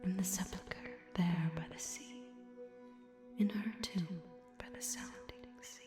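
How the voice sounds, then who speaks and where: A woman whispering poetry in a gentle, hypnotic, dramatic ASMR style, as a gothic vampire hypnotist. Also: medium pitch, unhurried. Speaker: ASMR performer. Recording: echoing layered whispers over music. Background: music.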